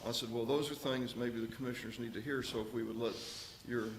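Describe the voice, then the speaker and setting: Man chairing a county meeting: folksy, halting, in a roomy, slightly noisy recording. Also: mid-range voice, steady tone. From Texas. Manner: halting, many fillers and restarts, folksy. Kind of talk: public meeting remarks. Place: county commissioners court room. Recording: echoey room mic with faint noise.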